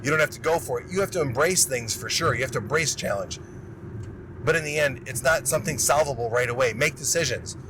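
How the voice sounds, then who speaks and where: Hoarse-voiced man hosting a motivational podcast. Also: medium pitch, fast talker, noisy background. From Illinois. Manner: energetic, rambling, self-interrupting. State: losing his voice. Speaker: podcast host. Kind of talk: casual motivational monologue.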